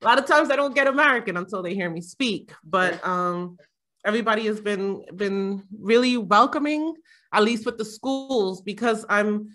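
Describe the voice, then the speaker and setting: A woman's voice: medium pitch, normal speed, a bit bright. A middle-aged woman from Jamaica chatting casually as a panel guest, rambling with frequent fillers and laughs. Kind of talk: casual conversation.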